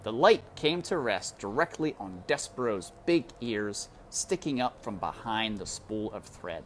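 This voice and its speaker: A woman narrating a children's novel aloud with expressive, deliberate, dramatic phrasing. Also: very deep voice, a little hiss or hum.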